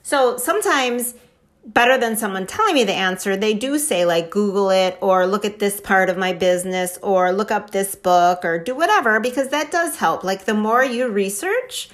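A woman hosts her own podcast, talking in a casual, enthusiastic way. Her pitch is very animated and leaps around as she makes her points, and she keeps checking in with "right?". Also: normal speed. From Wisconsin. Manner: chatty, enthusiastic, lots of fillers like "right?" and "like". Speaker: podcast host. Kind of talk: casual motivational talk.

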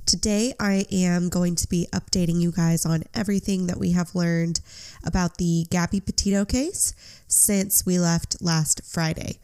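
A woman's voice: on the low side, steady tone, unhurried, darkish tone, clear, resonant tone. Congested woman hosting a crime podcast. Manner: chatty, earnest, apologetic asides. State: congested from a cold. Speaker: podcast host. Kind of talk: true crime podcast narration.

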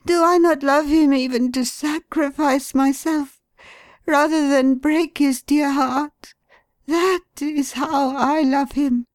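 A woman voicing a distraught young wife, pleading in a strained, high voice. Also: steady tone, dry recording. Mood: anguished. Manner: intense, emphatic, slow.

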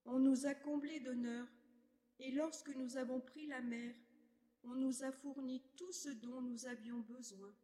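A woman reading scripture aloud at a church service in a steady, formal cadence. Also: high-pitched, a little slow. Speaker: scripture reader. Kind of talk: liturgical reading.

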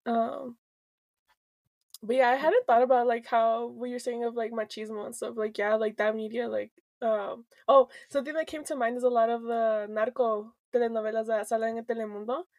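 A young woman co-hosts a podcast in loose, rambling chat, full of fillers, swearing and quick switches into Spanish. Her voice sits fairly high for a woman. The sound is dry and close, with no room around it. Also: fairly even intonation, a little mellow, no room sound. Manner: rambling, filler-heavy, swears freely, laughs often; switches between English and Spanish mid-sentence. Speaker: podcast co-host.

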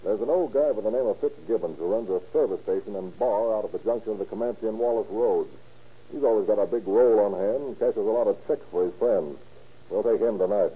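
An actor playing a bullying, hard-boiled gangster who gives slangy orders in 1930s radio crime drama style. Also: male voice, lowish voice, a little fast, breathy edge. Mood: businesslike. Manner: slangy, commanding, tough-guy talk. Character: bullying, menacing male gangster, hard-boiled gang boss. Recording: muffled old-time radio recording.

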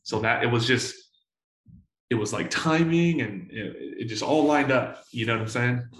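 A man in his forties telling stories in a casual interview, full of slang and swearing, excitable and often repeating himself. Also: medium pitch, normal speed, reverberant, low-quality recording. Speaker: entrepreneur guest.

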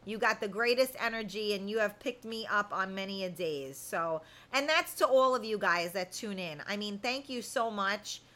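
A woman's voice: medium pitch, lively intonation, normal speed, few pauses, pushed and bright. A woman in her fifties hosting a casual live chat, talking to viewers in a candid, blunt, colloquial way. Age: 50s. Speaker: host of a live chat show.

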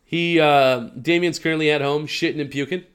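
A man's voice: fairly high pitch, steady tone, fairly quick, a bit bright, clean tone. A young man co-hosting a podcast in casual slangy chat, a little congested and a few drinks in. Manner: laid-back, slangy, says dude and bro a lot. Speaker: podcast co-host.

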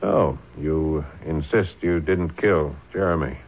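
An actor playing a stern, principled but compassionate defense lawyer, formal and persuasive, in a radio drama. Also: male voice, very deep voice, takes it slowly, husky, exaggerated voice. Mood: friendly. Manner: forceful, probing, formal, persuasive. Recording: tinny old radio broadcast.

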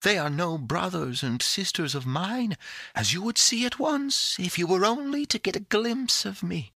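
Man voicing a high-pitched, coaxing little fairy. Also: lively intonation, moderate pace, creaky, pushed and bright, breathy edge, dry recording, clean studio sound, full character voice. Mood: sweet coaxing. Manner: coaxing, sing-song, cheery. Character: tiny winged fairy creature, female, sweet, coaxing, cheerful. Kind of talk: storybook character voice.

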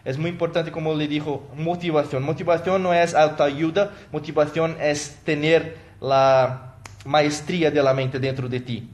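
Portuguese-speaking man, halting live talk. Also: a little high, fairly even intonation. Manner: halting, with many fillers and self-corrections; thanks viewers by name as they comment. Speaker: motivational coach. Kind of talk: live stream talk to viewers.

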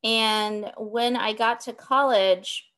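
A woman's voice: a little high, steady tone, slow, a bit bright, smooth. A woman in her thirties from Texas, an author answering interview questions thoughtfully, with frequent ums. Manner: thoughtful, lots of ums, laughs easily. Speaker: author and academic guest.

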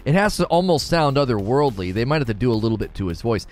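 A man hosting a casual livestream, chatting loosely and reading viewer comments aloud. He rambles and restarts his sentences often. His voice sits at an ordinary middle pitch, with faint music in the background. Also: sing-song, quick pace, a little mellow. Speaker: livestream host.

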